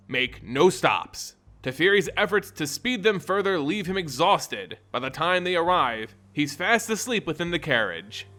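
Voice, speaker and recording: A man narrating a fantasy story from a script in a theatrical, deliberate way, with emphatic phrasing. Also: a little high, fairly bright tone. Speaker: lore video narrator and channel host. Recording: voice over background music. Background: music.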